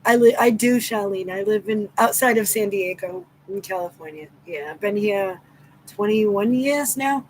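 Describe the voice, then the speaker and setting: A woman's voice: mid-range voice, normal speed, a little hiss or hum, low-quality recording. A middle-aged woman from Massachusetts with a local accent, chatting casually and affectionately with viewers on a livestream and greeting them by name. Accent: Southeastern Massachusetts. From Massachusetts, USA. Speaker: livestream host.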